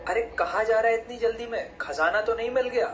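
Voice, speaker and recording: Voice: mid-range voice, a little fast, a little hiss or hum, lightly acted. An actor voicing a menacing, mocking robber in a cartoon story, taunting and threatening. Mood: mocking threat. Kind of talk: Hindi cartoon story voice acting.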